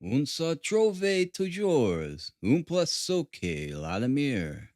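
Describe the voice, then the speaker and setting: A man voicing a confident, amused gentleman detective in an audiobook, with a wry, assured and commanding manner. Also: mid-range voice, huge pitch swings, slow pace, dry recording, clean studio sound.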